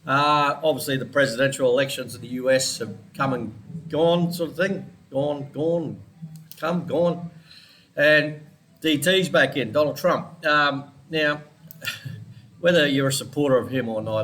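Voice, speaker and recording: A man's voice: mid-range voice, steady tone, normal speed, fairly bright tone, slight background noise. An Australian man with a congested head cold, chatting casually through a weekly forex trading analysis and rambling off on side topics. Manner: chatty, rambling, digressive, with small laughs.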